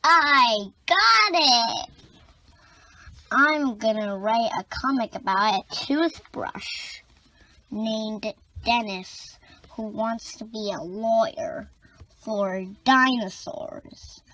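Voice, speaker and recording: A boastful young storybook creature, acted out by a woman, pipes up loud and high. He is excitable and showy, bossing everyone with big swoops of pitch. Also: extremely slow, full character voice, low-quality recording.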